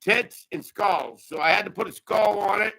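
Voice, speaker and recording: A man's voice: high voice, normal speed, pushed and bright. A man in his fifties, a podcast guest chatting casually, rambling and laughing often. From Pennsylvania. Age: 50s.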